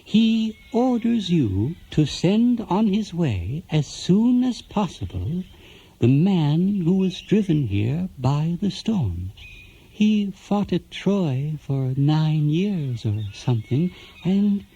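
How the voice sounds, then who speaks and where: A man's voice: fairly high pitch, sing-song, takes it slowly, dark tone, breathy edge, muffled recording, exaggerated voice. An actor playing a reluctant, grumbling male messenger god in a radio play, muttering and humming grudgingly. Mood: grudging, weary. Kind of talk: 1970s radio play acting.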